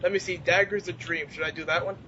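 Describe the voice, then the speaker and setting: A man's voice: fairly even intonation, fast, sharp tone. A young man in casual podcast banter, giggly, profane and self-mocking, as a co-host. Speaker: podcast co-host and amateur comedy writer. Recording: dull lo-fi voice chat, noisy rumble.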